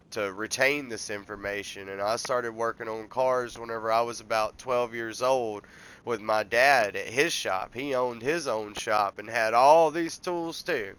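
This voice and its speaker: A man's voice: mid-range voice, a bit bright, clear, resonant tone. Congested man explaining a game. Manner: folksy, rambling, explaining step by step. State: congested from a cold. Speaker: gameplay tutorial host. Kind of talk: casual game walkthrough.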